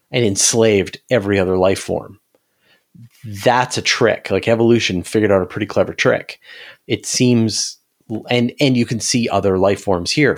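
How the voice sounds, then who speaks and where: A man in a casual, speculative science discussion, thinking aloud and often rephrasing his points as he goes. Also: fairly low pitch. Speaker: science interviewer and podcast guest.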